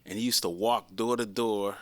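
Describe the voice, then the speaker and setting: A man in his sixties from the Boston area, telling stories from his childhood in a relaxed way on a panel, chuckling often. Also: lowish voice, moderate pace. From Boston area, Massachusetts. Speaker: panel guest. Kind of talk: casual storytelling.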